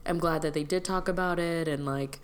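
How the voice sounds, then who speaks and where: Low-voiced young woman bantering. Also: fairly even intonation. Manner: quick, laughing, frank banter. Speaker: podcast co-host. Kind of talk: casual chat.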